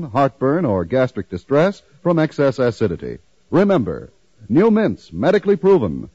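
A man's voice: medium pitch, a little mellow, breathy edge, dry recording. An American man delivering an emphatic 1950s radio advertisement as a commercial announcer. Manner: emphatic, enumerating sales delivery. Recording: dull band-limited 1950s radio recording.

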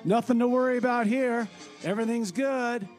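Man as a breezy field reporter in a radio comedy, high voice, faint music underneath. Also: fairly even intonation, moderate pace, darkish tone. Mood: upbeat. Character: cheerful man reporter stranded in a 1968 jungle. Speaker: comedy sketch narrator.

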